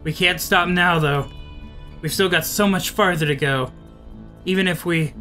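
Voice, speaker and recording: A man's voice: high-pitched, a little slow, bright, forceful tone. A man voicing a weary, anxious driver in an apocalypse visual novel, somber and hesitant. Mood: brooding. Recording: music behind the voice.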